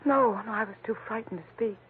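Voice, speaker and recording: A woman's voice: a little high, fast talker, slight background noise, close to an everyday voice. An actress playing a frightened, bewildered young woman client in a 1940s radio drama, speaking earnestly and anxiously. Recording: narrow, muffled 1940s radio broadcast.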